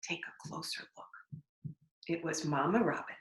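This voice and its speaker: A woman reading a storybook aloud to young children, deliberately, with simple explanatory asides. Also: sits low. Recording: echoey room.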